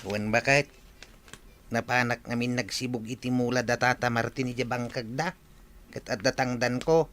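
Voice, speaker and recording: An actor playing a hardworking, self-assured and earnest husband in a comedic radio drama. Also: male voice, medium pitch, fairly even intonation, moderate pace, fairly bright tone, close to an everyday voice.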